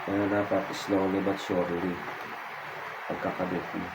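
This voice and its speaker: Low-voiced Filipino man over loud noise. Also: flat delivery, unhurried. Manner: informal, repeats phrases as he demonstrates. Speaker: vlogger giving a hands-on tutorial. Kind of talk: casual hands-on how-to chat. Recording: very noisy background ambience.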